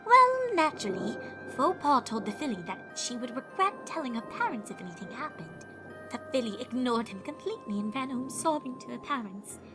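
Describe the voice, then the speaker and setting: Girl doing a posh dramatic pony fashionista, higher voice that swoops around, music playing under it. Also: very animated, fairly quick. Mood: grave, suspenseful. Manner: theatrical, genteel, calls others darling. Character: dramatic, refined female unicorn fashionista telling a spooky tale. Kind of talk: character voice in a fan fiction reading.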